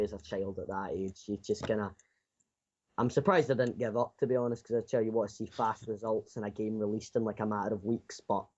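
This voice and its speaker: A man's voice: mid-range voice, darkish tone. Dull-sounding teenage boy, rambling and repeating himself. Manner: rambling, repeats and restarts phrases. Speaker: self-taught indie game developer being interviewed. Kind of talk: casual unscripted interview answers.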